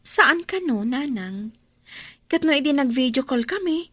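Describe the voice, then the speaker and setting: A woman's voice: sits high, lively intonation, unhurried, a bit bright, no echo. A woman playing an anxious young wife and mother in an Ilocano Filipino radio drama, with music behind her. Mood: hopeful, reassuring.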